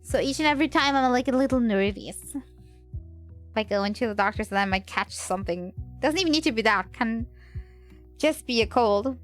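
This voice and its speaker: Woman, high voice, slight cold, rambling casual streamer talk, hesitant, music in the background. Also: normal speed. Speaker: livestreamer. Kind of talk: casual livestream chat.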